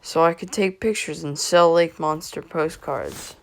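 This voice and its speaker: A woman's voice: sits low, unhurried, vocal fry. A woman voicing a sheepish, eccentric male schemer who explains himself in a storybook confession. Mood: confessing.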